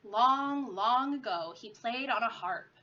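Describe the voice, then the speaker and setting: A woman's voice: a little high, animated, takes it slowly, bright, forceful tone. A woman, a teacher, reading a children's story aloud expressively. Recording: muffled lo-fi with clear background noise.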